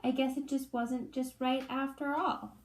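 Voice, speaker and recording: A woman voicing a curious, prim little girl from a fairy tale, with exclaiming, drawn-out reactions. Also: high voice, little pitch movement, pitched above the performer's own voice. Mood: matter-of-fact.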